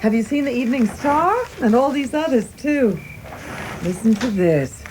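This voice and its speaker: A woman's voice: a little high, animated, moderate pace, background noise, natural voice. An actress playing a doting, proper, fretful mother of a grown son in a radio drama, with genteel, fussing delivery. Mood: proud, doting. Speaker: actor.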